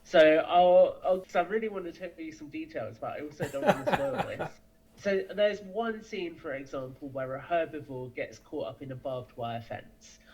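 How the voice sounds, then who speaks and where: A man's voice: a little high, animated, moderate pace, hardly any pauses, a little mellow, low-quality recording. A man giving conversational interview answers as a podcast guest, explaining things with enthusiasm. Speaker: podcast guest, audio drama creator.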